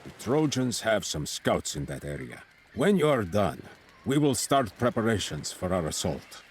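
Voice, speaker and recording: A man's voice: fairly low pitch, very animated, moderate pace, a little hiss or hum. An actor playing a grandiose Greek king and army commander in a video game, imperious, pompous and theatrical. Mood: grand welcome. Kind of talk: video game cutscene acting.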